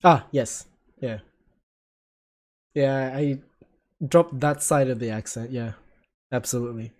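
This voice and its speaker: A young man with an Australian accent hosting a casual chat, asking calm, probing questions and laughing often. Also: mid-range voice, slow pace. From Philippines and Japan heritage, living in Australia. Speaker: host.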